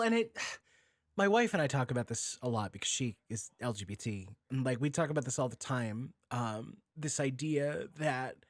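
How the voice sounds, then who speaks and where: A man hosting a casual podcast, talking in an animated, rambling way and swearing freely. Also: medium pitch, no room sound, clean studio sound. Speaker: podcast host.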